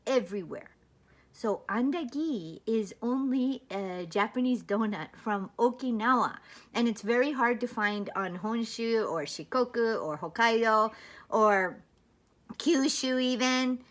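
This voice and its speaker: A woman's voice: a little high, a bit bright. A woman from Hawaii talking casually to the camera as a vlogger, telling stories in a chatty, rambling way with bits of local slang.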